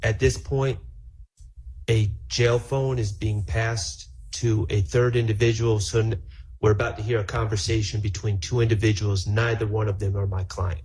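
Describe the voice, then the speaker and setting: A man arguing formally to a judge as a defense attorney, speaking haltingly and correcting himself with care. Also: on the low side, little pitch movement, a little mellow. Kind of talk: courtroom argument to a judge. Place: courtroom.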